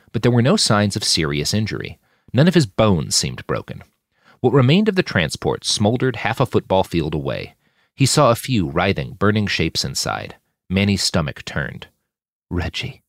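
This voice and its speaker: A man with a low voice narrates fiction with drama and very animated intonation. The delivery is expressive and scripted, recorded dry and close. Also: normal speed, dry recording. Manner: expressive, shouts out characters' lines. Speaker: audiobook narrator reading own fiction podcast.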